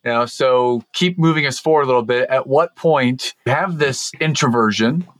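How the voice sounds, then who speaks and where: A man's voice: medium pitch, moderate pace, a bit bright, dry recording. A man hosting a podcast, drawing out his guest with long, rambling, encouraging questions. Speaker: podcast host. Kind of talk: casual podcast interview.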